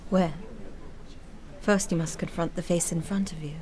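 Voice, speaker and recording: A woman playing a poised, tender young sweetheart in a radio drama, gently teasing. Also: on the low side, fairly even intonation, normal speed, lightly acted.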